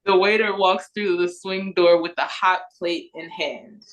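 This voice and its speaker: A woman with a slightly low voice takes part in a script read-through. She reads stage directions and chats casually between them, over a video call. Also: fairly even intonation, a little slow, low-quality recording.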